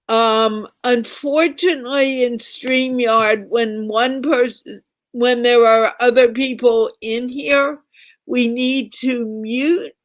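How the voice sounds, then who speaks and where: A woman's voice: fairly high pitch, no room sound, rough recording. A woman hosting an online English conversation show, speaking in a firm, instructive way on a video call. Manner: firm, instructive, reminds guests to mute. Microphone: computer mic on a video call.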